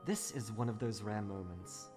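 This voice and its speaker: A man's voice: lowish voice, moderate pace, over music, close to an everyday voice. A man voicing a wry, world-weary woman adventurer in a comic dub, with a dry, sardonic delivery. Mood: reflective. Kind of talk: comic dub acting with reflective monologues.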